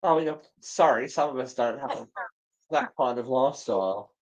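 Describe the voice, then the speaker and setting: A woman's voice: very deep voice, normal speed, rough recording. A woman co-hosting a casual livestream, joking around in a theatrical, sweary way. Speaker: co-host of a live show.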